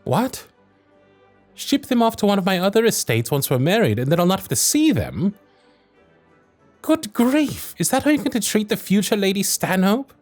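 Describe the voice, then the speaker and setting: A man's voice: high voice, sing-song, moderate pace, a little mellow, no echo, soft background music. Guy playing posh-talking young victorian lord, courtly and playful, voice goes up and down loads, super dry recording. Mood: exasperated. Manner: formal, courtly, old-fashioned diction. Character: wry, honourable young Victorian lord, charming and playfully vain. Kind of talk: romantic period audio roleplay.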